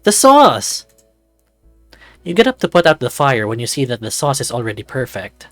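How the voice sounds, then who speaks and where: A man's voice: medium pitch, expressive, fast, dry recording, faint music behind. A man reading a visual novel as a narrator, with casual, musing asides. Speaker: visual novel reader and commentator.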